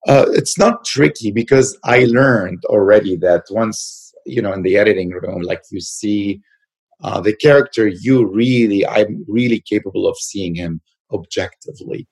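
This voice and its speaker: Husky man, searching for words. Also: fairly low pitch, moderate pace, a little mellow. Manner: searching, repeats words, many fillers. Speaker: actor and producer, guest. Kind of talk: casual interview answers.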